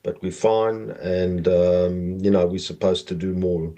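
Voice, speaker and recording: A middle-aged man with a South African accent, telling personal stories conversationally as a podcast guest in a halting, meandering way. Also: deep voice, level tone, warm tone.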